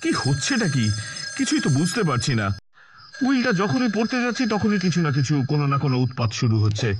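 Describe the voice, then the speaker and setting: Music plays clearly behind a fretful middle-aged gentleman from a cartoon. His voice sits fairly high and swings widely. He is theatrical, exclaiming and repeating himself as he frets. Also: big pitch swings, fast. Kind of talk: cartoon voice acting.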